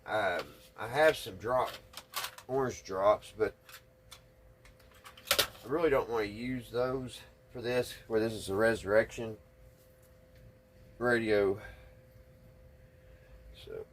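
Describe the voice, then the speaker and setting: A man with a slightly husky voice, talking casually and folksily through hands-on repair work, thinking aloud without hurry. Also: lowish voice, a bit bright, slight background noise. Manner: folksy, unhurried, thinking aloud while working. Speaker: hobbyist radio restorer and video host. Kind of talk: casual hands-on workbench narration.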